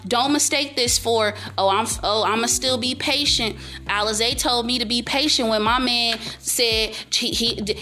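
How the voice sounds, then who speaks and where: Loud emphatic young woman, high voice. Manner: emphatic, preachy, repeats herself, speaks up loudly. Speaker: vlogger giving life advice. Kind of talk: casual motivational monologue to camera.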